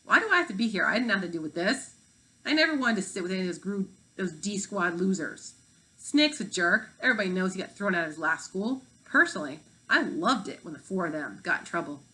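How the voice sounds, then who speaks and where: A woman's voice: medium pitch, lively intonation, fast, pushed and bright, low-quality recording. Woman as a grumpy, scornful fifth-grader. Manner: blunt, emphatic.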